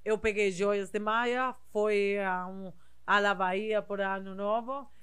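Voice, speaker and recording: A woman from southern Spain, a native Spanish speaker, talking casually in non-native Portuguese with a Spanish accent, slightly halting and mixing in Spanish words. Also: medium pitch, steady tone, fairly bright tone, clear, resonant tone. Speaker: interview guest, body piercer. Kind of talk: casual conversational storytelling.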